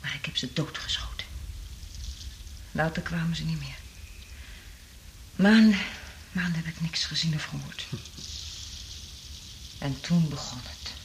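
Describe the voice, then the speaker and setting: An actress in a radio drama playing a traumatised woman survivor, half-mad from isolation, speaking in an anguished, halting, dramatic way. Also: deep voice, slight background noise. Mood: haunted recounting.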